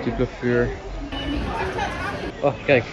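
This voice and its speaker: A man's voice: mid-range voice, little pitch movement, takes it slowly, highs cut off, a crowd in the background. A man chatting casually on a vlog in an understated, wry way.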